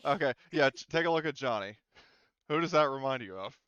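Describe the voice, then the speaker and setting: A man's voice: medium pitch, animated, brisk, a bit bright, clean tone, no room sound, dull audio. A man casually talking a group through a tabletop game as game master, explanatory and given to casual swearing.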